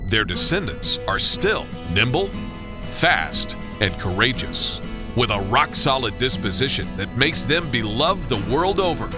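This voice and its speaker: A man's voice: medium pitch, sing-song, a little slow, over music. A man reading scripted documentary narration in a dramatic, sweeping delivery.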